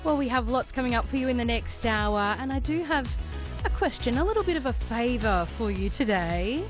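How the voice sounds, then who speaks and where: A hoarse woman with an Australian accent, hosting morning talkback radio in a chatty, friendly way. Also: on the high side, lively intonation, a little fast, over music. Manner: chatty, friendly, with some ums and uhs. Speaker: radio host. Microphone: studio microphone.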